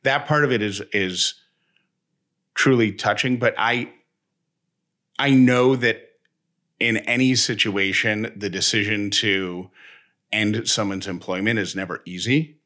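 A man's voice: on the low side, steady tone, unhurried, long pauses, pushed and bright. A man in casual, reflective personal talk as a co-host, speaking in an earnest, reassuring way in long monologues.

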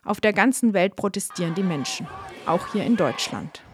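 A woman reading a scripted news report in an even, informative way. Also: mid-range voice, moderate pace, a little hiss or hum. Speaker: reporter narrator. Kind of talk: scripted narration.

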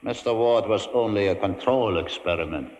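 An actor playing a coldly polite, pompous mad scientist in a 1950s radio drama. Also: male voice, on the low side, moderate pace, darkish tone, natural voice. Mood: smug, lecturing. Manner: pompous, mockingly courteous. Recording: echoey old radio recording, long reverb.

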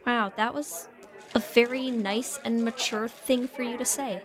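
A woman's voice: fairly high pitch, fairly even intonation, a little slow, natural voice. A woman playing an earnest, worried best friend in an audio drama. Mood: earnest, resolved. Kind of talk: naturalistic audio drama acting.

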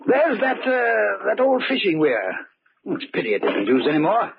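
An actor playing a fussy, blustering, wealthy older uncle who grumbles and stammers in an old radio drama. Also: male voice, high-pitched, huge pitch swings, moderate pace, vocal fry, heavily acted. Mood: musing. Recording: dull, hissy old radio recording.

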